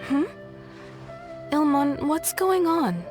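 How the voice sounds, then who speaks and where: A woman voicing a gentle, polite mother in a video game, with a soft, tender, courteous manner. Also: high-pitched, sing-song, slow, music in the background, exaggerated voice. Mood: soothing.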